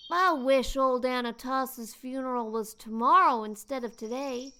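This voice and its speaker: A woman's voice: high-pitched, moderate pace, sharp tone, clear, resonant tone, voice pushed up. A woman voicing a gloomy ten-year-old boy who whines and drags out his complaints. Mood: sulky.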